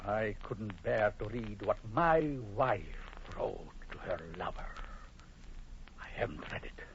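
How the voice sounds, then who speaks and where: An actor playing a stern, bitter doctor and betrayed husband in an old-time radio drama, formal and dramatic with a husky voice. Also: male voice, lowish voice, lively intonation, unhurried, a little hiss or hum. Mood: grief-stricken. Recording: muffled old radio broadcast.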